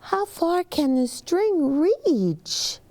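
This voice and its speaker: A woman's voice: extremely high pitch, big pitch swings, very slow, dark tone, pitched above the performer's own voice, full character voice. A woman voicing curious, anxious young twins in a storybook, with earnest childlike questioning. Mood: curious, wondering.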